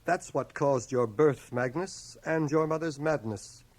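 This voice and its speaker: An actor playing an aging, guilt-ridden bachelor schoolmaster in a radio drama, deliberate, literate and earnestly moralizing. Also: male voice, fairly high pitch, a little mellow, close to an everyday voice. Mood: earnest, confronting. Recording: cassette transfer of 1980 radio drama.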